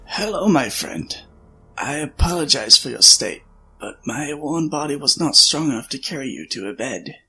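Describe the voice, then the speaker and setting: Man acting a creaky, sharp-voiced old scientist. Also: fairly high pitch, expressive, exaggerated voice. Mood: gently apologetic. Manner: measured, explanatory, deliberate. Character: old, worn male scientist, weary, reflective friend. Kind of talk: science fiction audio drama acting. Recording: music under the voice.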